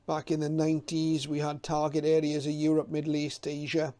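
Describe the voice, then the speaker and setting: A man's voice: on the high side, monotone, a little fast, mellow, clean tone. A middle-aged man giving informal, unscripted commentary as a hobbyist presenter, hesitant and reminiscing as he goes.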